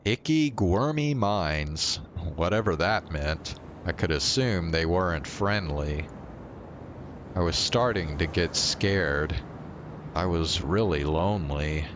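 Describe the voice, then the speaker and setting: A man's voice: low voice, lively intonation, takes it slowly, noisy background. A male streamer reading a visual novel aloud and talking to viewers as he goes. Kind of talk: live read-aloud narration of a visual novel.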